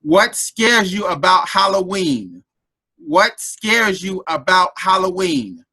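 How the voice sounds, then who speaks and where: A man's voice: sits high, lively intonation, takes it slowly, sharp tone, husky, low-quality recording. A man leading the impromptu speaking segment of a club meeting over a video call, playful and repeating his questions. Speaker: table topics master.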